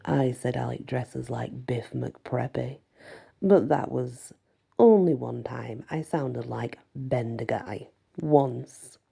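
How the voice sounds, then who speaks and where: A woman voicing a laconic, protective teenage werewolf boyfriend with a deep rumbling voice and slow, understated replies. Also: vocal fry, warm tone, husky, exaggerated voice. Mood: defensive.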